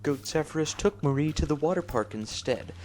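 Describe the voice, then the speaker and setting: A man with a slightly high voice narrates a video game as if it were a storybook, making it up as he goes. His delivery is mock-serious and deadpan. Music plays clearly behind him. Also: fairly quick. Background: clear background music.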